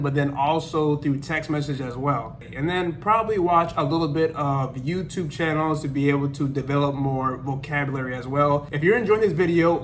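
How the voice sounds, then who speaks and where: A man's voice: a little high, a little hiss or hum. Upbeat man vlogging in his apartment. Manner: upbeat, animated, laughs often. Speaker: language-learning YouTuber. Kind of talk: casual vlog talking to camera. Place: small apartment living room, indoors.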